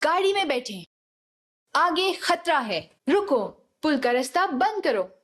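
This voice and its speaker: A woman's voice: sits high, very animated, measured pace, a bit bright, heavily acted. A woman voicing a female soldier squadmate in a shooter game, giving short, clipped tactical commands. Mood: commanding. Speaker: game voice pack announcer.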